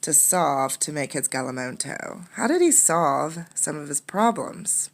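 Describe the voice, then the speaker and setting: Woman, low register with gravelly edges, for an expressive read-aloud; teacherly, puts questions to young listeners. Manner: expressive narration, pausing to pose simple questions. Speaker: teacher reading a picture book aloud.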